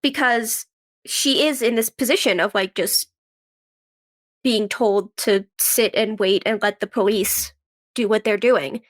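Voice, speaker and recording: A woman's voice: medium pitch, measured pace. A woman speaking casually as an interview guest, in a conversational way peppered with "like". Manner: conversational, frequent "like". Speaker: guest, actress and writer.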